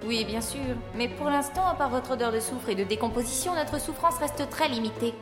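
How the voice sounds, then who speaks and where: High-voiced, echoing, bold heroine played by a woman. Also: fairly quick, lightly acted. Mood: mocking calm. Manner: composed, sardonic, commanding. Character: bold, quick-witted woman defying a demon. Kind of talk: audio drama acting. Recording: echoey long reverb with music.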